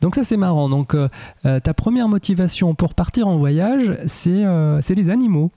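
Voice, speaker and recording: Lively, mellow-voiced male radio host. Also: high-pitched, moderate pace, no room sound, studio quality. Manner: friendly questioning with hesitations. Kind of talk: radio interview.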